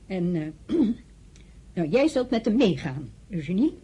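A woman's voice: lowish voice, sing-song, unhurried, warm tone, slightly rough, heavily acted. An actress playing a strict, worried middle-aged mother who gives firm orders in a radio drama.

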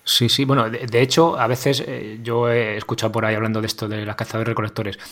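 A man's voice: lowish voice, little pitch movement, fast, a bit bright. A man with a Spanish accent from Spain interviews casually, in an informal, hesitant way with rambling questions. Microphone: remote online call.

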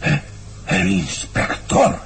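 A man playing a haughty, sinister, imperious baron in an old radio serial, with pompous and menacing drawn-out phrasing. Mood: startled. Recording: noisy old radio drama recording.

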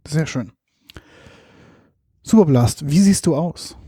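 A man's voice: fairly high pitch, animated, takes it slowly, dark tone, husky, dry recording. A man hosting a podcast from a studio, asking two guests short, playful questions in a casual interview. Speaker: podcast host.

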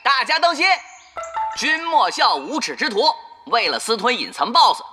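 A man's voice: extremely high pitch, big pitch swings, normal speed, music in the background. A man playing a petty, vengeful, hot-headed young guild gamer in a radio drama, indignant and huffy in manner. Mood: indignant, gloating. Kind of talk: animated radio drama dialogue.

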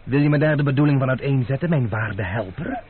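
An actor playing a bold, ironic French gentleman adventurer, theatrical, mocking and commanding, in an old radio play. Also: medium pitch, animated, fast talker, lightly acted. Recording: noisy narrow-band old radio recording.

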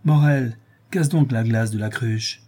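A man's voice: medium pitch, moderate pace, dark tone, slightly rough, lightly acted. A man voicing a feverish, complaining wife in a storybook, with a plaintive, impatient manner. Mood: impatient, doleful.

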